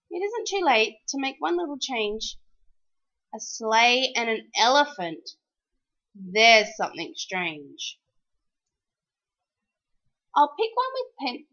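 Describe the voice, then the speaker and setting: Female storyteller for picture-book verse: high voice, very lively sing-song delivery, dull lo-fi sound. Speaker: storyteller reading a children's picture book aloud. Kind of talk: expressive children's storybook read-aloud.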